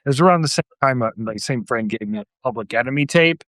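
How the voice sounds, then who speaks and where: A man's voice: medium pitch, expressive, fast talker, a bit bright, dry recording, clean studio sound. A middle-aged man talking casually about music as a podcast host.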